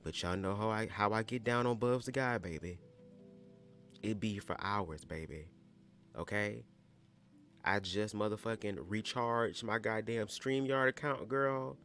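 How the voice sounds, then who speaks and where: A young man hosting a casual livestream, with a calm voice and chatty, sassy asides to his viewers. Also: measured pace, soft background music. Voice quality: calm low voice. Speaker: livestream host. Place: home, squeaky old chair.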